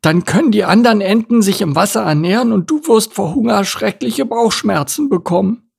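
Storybook tortoise, female, timid and caring, performed by a man; raised, slightly husky voice, earnest worry. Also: high voice, lively intonation, normal speed, pitched above the performer's own voice, full character voice. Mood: concerned. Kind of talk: storybook character voice.

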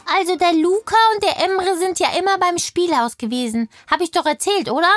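A woman's voice: very high-pitched, fairly quick, fairly bright tone, no room sound, studio quality, faint music behind. A woman voicing a chatty, indignant little kindergarten girl in a children's audio play, telling things with childlike eagerness. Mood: excited, indignant.

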